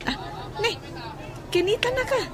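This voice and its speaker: A gossipy Ilocano market woman in a radio drama. Her voice is very high-pitched, heard over clear crowd and street noise. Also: animated, normal speed. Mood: gossiping. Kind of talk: Filipino radio drama acting. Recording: busy street crowd sound effects. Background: outdoor crowd noise.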